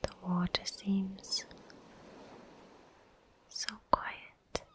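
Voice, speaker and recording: Very slow whispering woman, ASMR guide. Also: mid-range voice, little pitch movement. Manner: soft whispering, soothing, unhurried with long pauses. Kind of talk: whispered ASMR guided visualization for relaxation and sleep.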